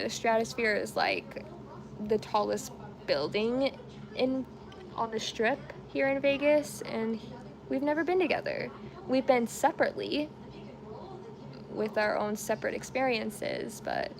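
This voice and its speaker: A woman around thirty rambles through a relaxed home crochet stream. She speaks slowly in a slightly high, gravelly voice, chatting and laughing easily, and a clear layer of room noise sits behind her. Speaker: crochet livestream host. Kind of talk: casual chat while crocheting, reading comments.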